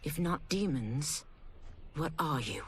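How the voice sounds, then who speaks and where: An actress playing a zealous demon hunter in a video game, formal and intense in manner. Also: female voice, deep voice, lively intonation, slow, a little hiss or hum, heavily acted. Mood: wary, formal. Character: zealous female demon hunter seeking absolution.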